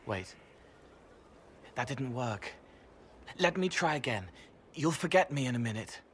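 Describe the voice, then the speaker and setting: A soft-spoken young spirit. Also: male voice, medium pitch, animated, slight background noise. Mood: gentle. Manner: soft, halting, dreamy fragments. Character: gentle young male spirit, soft and strange.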